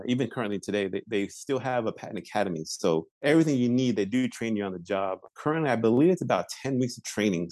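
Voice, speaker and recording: A man in his forties answers interview questions about his work. His voice sits a little low and the recording is dry and close. He talks in a measured, thoughtful way and often pauses on fillers and restarts. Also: a little mellow, no room sound. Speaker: patent examiner, interview guest.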